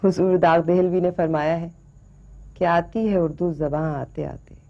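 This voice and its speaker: An actress playing a courtly middle-aged courtesan in a screen drama, deferential and slyly flattering in ornate, elaborately polite speech. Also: female voice, on the low side, measured pace. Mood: poised, gently witty. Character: courtly, flattering, sly courtesan mother quoting poetry. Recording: dull, lo-fi television film sound.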